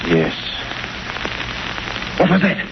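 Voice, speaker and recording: An actor playing a nervous criminal accomplice in a 1930s radio drama, uneasy in delivery. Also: male voice, on the high side, huge pitch swings, drawn-out words, gravelly, heavily acted. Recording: very noisy, muffled 1930s radio recording.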